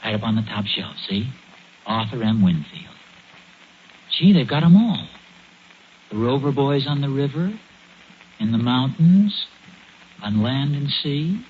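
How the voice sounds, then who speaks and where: Guy acting as stammery genial suburban dad, american, old 40s radio sitcom, real lively pitch, dull noisy recording. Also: unhurried, pauses often, close to an everyday voice. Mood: fumbling, distracted. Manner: hesitant, stammering when flustered. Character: flustered, genial suburban father, stubborn and sheepish. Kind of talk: 1940s radio comedy acting.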